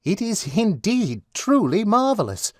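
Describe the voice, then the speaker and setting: Casting: fussy pedantic schoolmaster, high male voice, very animated delivery, pompous and wordy, classic storybook drama. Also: a little slow, no echo, clean studio sound. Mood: amazed, pompous. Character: pedantic, long-winded male tutor, earnest and astonished. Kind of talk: full-cast audiobook acting.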